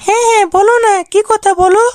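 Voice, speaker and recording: A woman's voice: very high-pitched, steady tone, fast talker, a little mellow, dry recording. A woman voicing a coy, sweet young married woman in a comic cartoon, with a pleading manner. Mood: curious, doubtful. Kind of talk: comic amateur cartoon dialogue.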